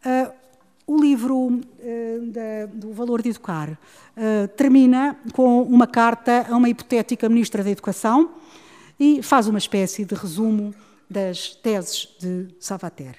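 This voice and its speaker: Woman, European Portuguese accent, middle-aged, measured academic delivery, warm mellow tone, hall reverb. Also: fairly high pitch. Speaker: speaker presenting a book on education. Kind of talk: formal talk followed by discussion.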